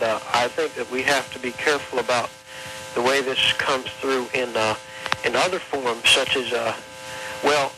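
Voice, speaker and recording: A man on a radio phone-in telling a story in a halting, deliberate, earnest-sounding way. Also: mid-range voice. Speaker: caller to a radio show. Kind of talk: slow, hesitant phone-in anecdote. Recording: humming, noisy radio phone call.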